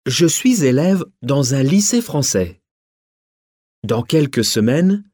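A man presenting a recorded listening exam. He reads at a slow, deliberate pace with plenty of pitch movement. The sound is dry and close. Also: a little mellow, dry recording. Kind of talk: scripted exam narration.

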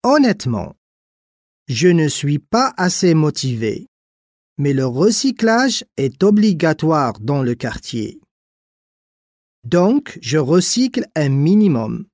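A young male student in a scripted listening exercise. He talks slowly, with a pitch that moves around a lot. The recording is dry and close. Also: very animated, darkish tone, no echo, heavily acted. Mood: mildly annoyed. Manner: slow, measured delivery. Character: young man student, not keen on recycling. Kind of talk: scripted exam listening dialogue.

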